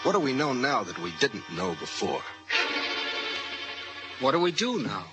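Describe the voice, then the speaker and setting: An earnest, plain-spoken serviceman from a 1940s radio play. The voice sits at a middle pitch and questions simply. Loud music plays behind the vintage recording. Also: very animated, measured pace. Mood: earnest questioning. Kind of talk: 1940s radio drama acting.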